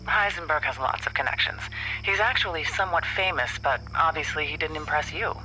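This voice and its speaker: A woman's voice: low-pitched, fairly quick, sharp tone, a little hiss or hum. An actress playing a friendly, concerned female colleague heard through a telephone filter in an audio drama. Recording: tinny phone line, music behind.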